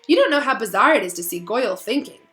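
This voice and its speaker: A woman voicing a blunt, excitable schoolboy wizard sidekick, full of exclamations, in a storybook reading. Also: a little high, fast. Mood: amazed. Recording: roomy echo, faint music behind.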